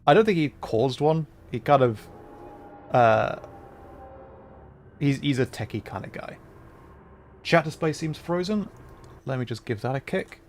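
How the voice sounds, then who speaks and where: A man's voice: medium pitch, lively intonation, measured pace, long pauses, a little hiss or hum. A man livestreaming a video game, chatting casually to viewers and rambling as he thinks aloud while playing.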